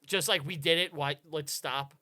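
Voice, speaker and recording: A man co-hosting a casual podcast, excitable and laughing through his own ideas. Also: on the high side, normal speed. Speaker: podcast co-host.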